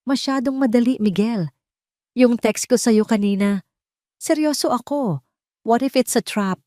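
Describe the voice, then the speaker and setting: A woman's voice: on the high side, unhurried, mellow, no room sound, studio quality, pitched above the performer's own voice, close to an everyday voice. Woman narrator as an ambitious, calculating mistress. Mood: doubtful, serious. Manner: eager, probing, mixing Tagalog and English.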